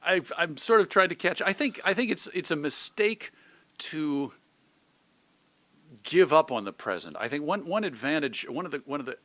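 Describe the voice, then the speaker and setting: Middle-aged American male interviewee, clear bright edge to the voice, thoughtful and articulate, dry close sound. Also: fairly high pitch, lively intonation, moderate pace, dry recording. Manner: reflective, articulate. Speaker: interview guest, radio host and editor.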